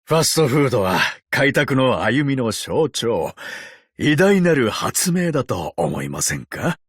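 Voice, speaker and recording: An ancient undead detective in a tin shell, speaking as a video game character. He is courteous and wry, with gentlemanly phrasing that swings widely in pitch. The voice sits at a medium male pitch and is recorded very dry and close. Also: very animated, breathy edge, no room sound. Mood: warm, inviting. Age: older. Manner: polite, unhurried, sardonic gentlemanly speech. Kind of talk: Japanese game character voice lines.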